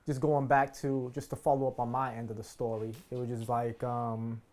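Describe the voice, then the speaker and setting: A man's voice: medium pitch, flat delivery, normal speed, a little mellow. A man from Boston chatting informally as an interviewer, using casual slang. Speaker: interviewer and filmmaker.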